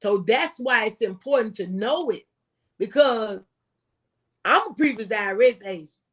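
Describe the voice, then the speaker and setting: American lady, pretty high voice, real folksy and emphatic, talking like a tax pro giving advice. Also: lively intonation, a little slow, fairly bright tone. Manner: emphatic, folksy, repeats phrases for stress. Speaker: former IRS agent and tax professional, guest speaker. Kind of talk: impassioned conversational tax advice.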